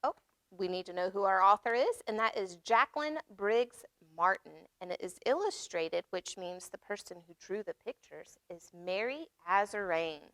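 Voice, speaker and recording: A woman reading aloud at storytime for young children, expressive, pausing to explain and ask questions. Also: mid-range voice, no echo. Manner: expressive, asks listeners questions and explains words. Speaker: children's library storytime reader.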